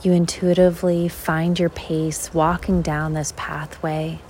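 A woman leading a guided visualization in an unhurried, measured way, leaving long pauses between short phrases. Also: sits low, level tone, a little mellow, a little hiss or hum. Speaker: guided meditation host.

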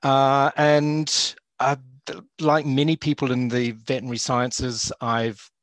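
A man with a New Zealand accent, a zoo vet talking in a relaxed interview, with many ums and uhs. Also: mid-range voice, unhurried, dry recording. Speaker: guest, zoo veterinarian and lecturer. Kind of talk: casual interview talk.

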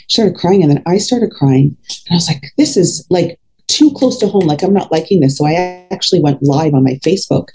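A woman's voice: fairly low pitch, mellow, slightly rough, poor audio. A woman in her fifties talking candidly in an interview, outspoken and emphatic. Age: 50s. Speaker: podcast guest, fitness coach.

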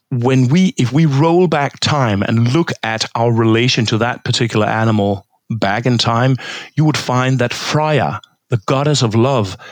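A man's voice: mid-range voice, dry recording. A man from Denmark, a historian of religion, giving a thoughtful interview answer with frequent hesitations and restarts. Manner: thoughtful, halting, many fillers and restarts.

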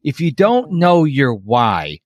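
A man's voice: medium pitch, huge pitch swings, slow, dry recording, highs cut off. A middle-aged man hosting a podcast interview, chatty and self-deprecating, telling personal stories. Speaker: podcast host. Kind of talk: casual interview chat.

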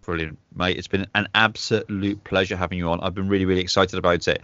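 A man's voice: deep voice, flat delivery, quick pace, fairly bright tone, dry recording, muffled recording. A man from Manchester hosting a podcast interview in a friendly, matey way. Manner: friendly, says "mate" a lot. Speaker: podcast host. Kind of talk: casual interview chat.

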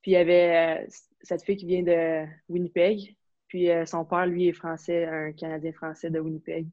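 A young woman from Quebec with a Quebec French accent, chatting casually as an interview guest, relaxed and full of fillers and restarts. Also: fairly low pitch, moderate pace, no room sound. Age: young adult. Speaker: interview guest, university hockey player. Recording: slightly dull, lo-fi sound.

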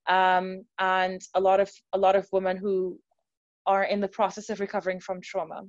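A woman's voice: mid-range voice, completely flat delivery, moderate pace, dry recording. Trinidadian-American woman, dull dry call audio. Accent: mixed Trinidadian and American. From Trinidad and Tobago. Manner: chatty, laughs easily, trails off with fillers. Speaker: holistic personal trainer, guest. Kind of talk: casual conversational interview.

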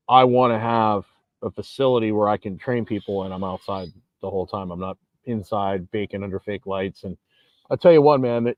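A man's voice: on the low side, dry recording. Rambling man in his forties, dull audio. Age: 40s. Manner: rambling, emphatic, frequent restarts. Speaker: vlogger and gym owner. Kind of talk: casual monologue to camera. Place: outdoors on a beach.

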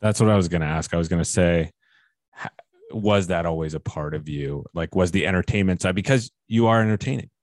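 A man's voice: deep voice, brisk, no room sound, clean studio sound. A man co-hosting a podcast, putting questions to a guest in casual conversation. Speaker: podcast co-host.

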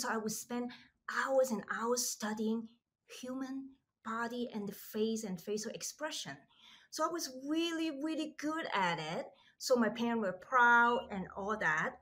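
A middle-aged woman from Hong Kong, a podcast guest telling her story in an earnest, reflective way with animated asides. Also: mid-range voice. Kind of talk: conversational storytelling.

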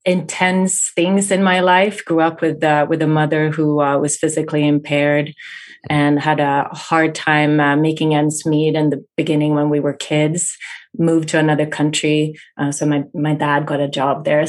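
A woman in her thirties, born in Finland and raised in Sweden, speaking English as a later language as an energetic podcast guest who laughs often. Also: low-pitched, normal speed. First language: Finnish or Swedish. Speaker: real estate investor, podcast guest. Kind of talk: casual interview.

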